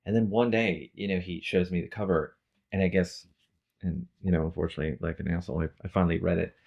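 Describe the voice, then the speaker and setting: A man's voice: low voice, steady tone, quick pace, gravelly, highs cut off. A man co-hosting a podcast in casual conversation, telling anecdotes with quoted exchanges and easy swearing. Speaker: podcast co-host.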